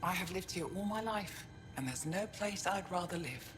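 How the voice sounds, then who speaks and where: A woman playing a dignified, practical alien town steward in a video game, speaking in a formal, earnest, measured way. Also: fairly low pitch, animated, soft background music, close to an everyday voice. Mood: proud, resolute. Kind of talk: video game character dialogue.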